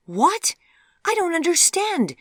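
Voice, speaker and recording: A woman's voice: very high-pitched, very animated, takes it slowly, fairly bright tone, no echo, studio quality, voice pushed up, exaggerated voice. A woman voicing a stubborn, defiant young lady in a storybook, with formal, haughty phrasing. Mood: bewildered, indignant.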